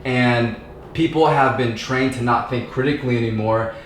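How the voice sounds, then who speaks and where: A man in his thirties from Southern California, a podcast guest talking casually in a relaxed, rambling way with plenty of fillers and slang. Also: medium pitch, moderate pace, slight background noise. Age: 30s. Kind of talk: casual conversation. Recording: echoey room.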